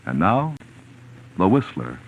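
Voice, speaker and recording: A man's voice: low-pitched, huge pitch swings, slow, creaky, over loud music. A man with an American accent, announcing in a polished, persuasive 1940s radio sponsor style. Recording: muffled vintage 1940s radio recording.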